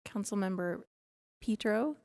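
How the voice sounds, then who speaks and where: A soft-spoken woman reading out council vote results at a city meeting. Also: medium pitch, lively intonation, measured pace, creaky. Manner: soft-spoken, reads out votes formally. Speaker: council staff reading votes. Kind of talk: formal meeting report.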